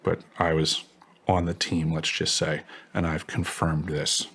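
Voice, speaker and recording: A man's voice: extremely low pitch, flat delivery, measured pace, fairly bright tone, breathy edge. A man speaking as an interview guest, giving assertive testimony in formal, technical wording. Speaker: interview guest, former contractor.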